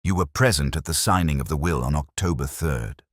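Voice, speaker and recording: A man voicing a probing, measured Victorian detective with formal speech in an audiobook story. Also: extremely low pitch, dry recording, studio quality. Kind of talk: audiobook character dialogue.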